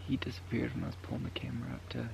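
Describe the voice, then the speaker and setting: Gravelly man whispering outdoors. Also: medium pitch, completely flat delivery, rapid-fire, background noise. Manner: mostly whispering to avoid spooking deer. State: scratchy throat. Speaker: hunter filming himself. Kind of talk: self-filmed outdoor commentary. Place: outdoors on snowy sage hillside. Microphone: handheld camera mic.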